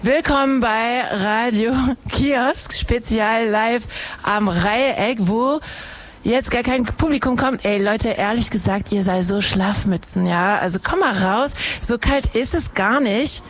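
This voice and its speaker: A woman's voice: fairly high pitch, slow. Chatty woman hosting live street radio. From Harz, Germany. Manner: chatty, teasing, hesitant with fillers. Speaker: radio host. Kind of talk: casual live radio chat. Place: outdoors at a live street broadcast.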